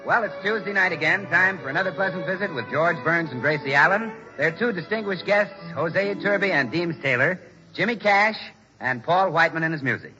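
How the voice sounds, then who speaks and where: A man's voice: high voice, lively intonation, brisk, over music. An American man playing a jovial, relentlessly salesy announcer in 1940s radio comedy, with a hearty, polished delivery. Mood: upbeat, polished. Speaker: announcer and comic sidekick. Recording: muffled, hissy 1940s radio recording.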